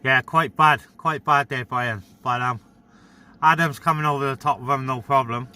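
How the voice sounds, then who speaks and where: A man's voice: mid-range voice, moderate pace, background noise. A man chatting casually and informally as a vlogger and CB radio operator. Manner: matey, informal. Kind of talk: casual radio chat and vlog talk. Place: outdoors on a mountain top.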